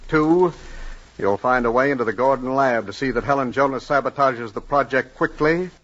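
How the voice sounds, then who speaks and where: Actor as sharp, domineering party boss, old radio. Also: male voice, medium pitch, lightly acted. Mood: insistent, scheming. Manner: commanding, deliberate, menacing. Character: domineering, sly male Communist Party boss. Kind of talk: 1950s radio drama acting. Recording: dull lo-fi 1950s radio broadcast.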